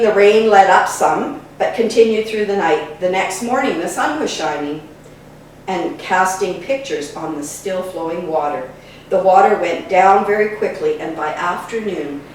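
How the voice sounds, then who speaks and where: A woman reading local history memoirs and letters aloud, in measured short phrases like storybook narration. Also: on the low side. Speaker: reader of a local history book. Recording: very echoey room, distant mic, faint noise.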